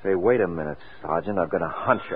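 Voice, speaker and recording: An actor playing a wry, dogged private detective in an old radio crime drama, quick and wisecracking. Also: male voice, sits low, a little hiss or hum, lightly acted. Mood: puzzled, dogged. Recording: tinny vintage radio recording.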